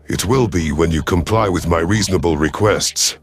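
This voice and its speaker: A very low, rough-edged voice from a man acting as an alien warlord in a sci-fi audio drama. He is cold and calculating, speaking with imperious, deliberate menace. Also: soft background music. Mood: imperious, threatening. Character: cold, calculating alien reptilian predator commander, male. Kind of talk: dramatic first-person sci-fi audio story.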